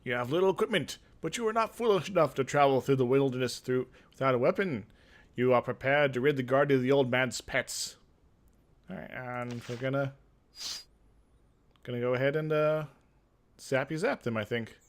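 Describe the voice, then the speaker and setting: A man's voice: mid-range voice, moderate pace. A man hosting a gaming video, reading a fantasy game aloud theatrically and dropping into casual asides. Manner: theatrical storytelling broken by offhand asides. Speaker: gaming video host and narrator.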